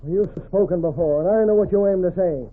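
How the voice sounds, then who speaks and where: An actor playing a stubborn, bossy, greedy frontier leader with a husky voice and folksy western speech, in a muffled 1940s radio drama. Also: male voice, high-pitched, brisk. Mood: defiant.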